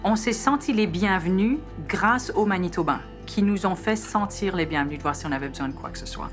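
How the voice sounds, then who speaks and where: A woman's voice: mid-range voice, fairly quick. A woman from Belgium, giving a personal testimonial in an interview. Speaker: international trade director. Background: music bed.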